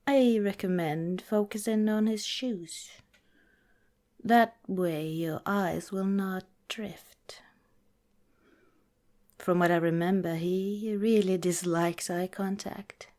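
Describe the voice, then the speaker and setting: A woman playing a protective vampire girlfriend in an ASMR roleplay, speaking softly and deliberately, partly in a whisper, earnest and cautioning. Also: medium pitch, fairly even intonation, natural voice. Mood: cautioning, serious. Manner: soft, deliberate, breathy whispering in places.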